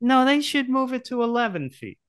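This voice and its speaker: Older male podcast host. High, smooth voice, playful and halting delivery, dry close-miked sound. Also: sing-song, fairly bright tone, dry recording. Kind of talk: casual conversational interviewing. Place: indoor book-lined home office, video call. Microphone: close desk microphone.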